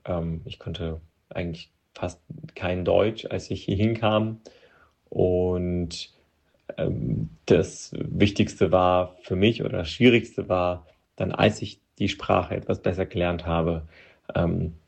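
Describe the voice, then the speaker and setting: Hesitant low-voiced man from Moldova. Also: fairly even intonation, vocal fry, breathy edge. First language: Russian. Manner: hesitant, with many pauses and restarts. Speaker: interview guest. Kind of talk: personal interview account.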